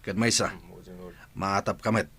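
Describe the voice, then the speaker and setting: A man's voice: fairly low pitch, fairly even intonation, a little slow, crowd noise. An actor playing a stern man who confronts a woman about her arrest in an Ilocano radio drama.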